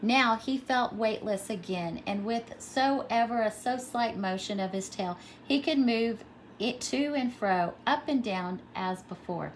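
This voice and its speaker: A woman's voice: a little high, unhurried, slight background noise, rough recording. A woman pre-K teacher reading a storybook aloud to young children, expressively and with simple explanations.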